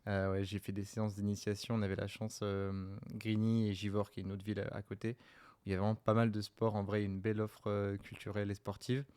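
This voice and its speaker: A man in his twenties from the Lyon area, a sprinter telling his story in a relaxed, rambling way with lots of fillers. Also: on the low side, brisk, smooth. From France, Lyon area. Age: 20s. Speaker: sprinter guest. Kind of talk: casual interview chat.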